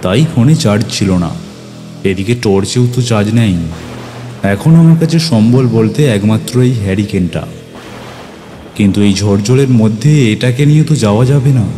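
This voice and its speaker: Male actor as caring village doctor, first-person horror narrator: lowish voice, expressive, earnest, music loud behind. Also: moderate pace, lightly acted. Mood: suspenseful narration. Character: young village doctor Aniket, earnest, caring, telling his ghost tale.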